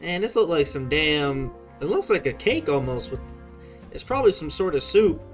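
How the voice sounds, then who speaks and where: A man's voice: fairly high pitch, sing-song, normal speed, soft background music. A man with an American accent giving casual, slangy, profane live reaction commentary on a game. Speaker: gaming streamer.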